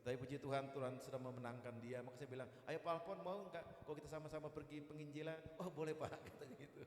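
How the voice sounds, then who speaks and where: A man's voice: fairly high pitch, fairly even intonation, quick pace. Echoey man preaching informally. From East Kalimantan, Indonesia. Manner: chatty and jocular, with repeated "gitu" tags. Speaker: guest evangelist and preacher. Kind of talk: informal church sermon. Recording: echoey hall, long reverb.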